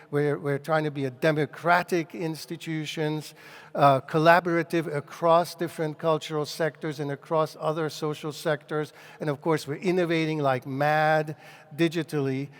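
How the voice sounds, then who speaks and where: A man from Hungary, giving a public lecture in English and often restarting his phrases as he thinks. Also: a little high. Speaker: guest lecturer. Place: large lecture hall. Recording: long reverb of a large hall.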